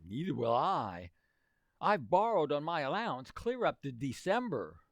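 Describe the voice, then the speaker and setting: Awkward teenage boy pal, played by a woman, plaintive and hesitant, very animated, dry radio-comedy sound. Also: deep voice, a little slow, no room sound, full character voice. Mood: reluctant, worried. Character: awkward, reluctant, worried teenage boy sidekick. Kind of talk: old-time radio comedy acting.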